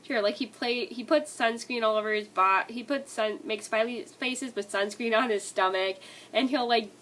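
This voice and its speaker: Bright-voiced young woman with a cold. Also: fairly high pitch, normal speed. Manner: rambling, many likes and restarts. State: sick, stuffy nose and scratchy throat. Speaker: vlogger. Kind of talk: casual movie list vlog.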